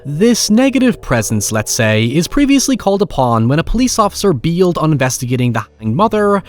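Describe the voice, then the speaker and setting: A man hosting a video essay, narrating scripted commentary in an articulate, measured way with wry asides. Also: mid-range voice. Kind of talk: scripted narration, film and horror retrospective commentary.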